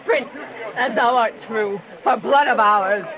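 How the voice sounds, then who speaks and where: Man acting a very high, wailing noblewoman. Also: slow, muffled recording, a crowd in the background. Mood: grief-stricken, vengeful. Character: distraught noblewoman crying for vengeance. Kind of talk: Shakespearean radio drama acting.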